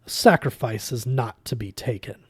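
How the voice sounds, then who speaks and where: A man narrating as a cruel fox god with a French accent. He speaks in measured, formal sentences, dismissive and condescending toward mortals. The sound is dry and close, in a storybook reading. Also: mid-range voice, breathy edge, dry recording. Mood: indignant, reasoning.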